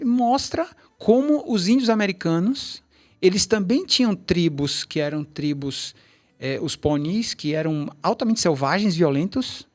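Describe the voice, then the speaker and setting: A middle-aged man, a tai chi teacher, telling long rambling stories in a casual interview, with many restarts and asides. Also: high-pitched. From Brazil, Bahia.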